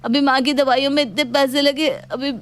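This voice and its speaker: A fretful, money-worried husband in a cartoon tale , voiced by a woman. The voice is high for the part. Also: fairly even intonation, moderate pace, no echo, close to an everyday voice. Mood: exasperated.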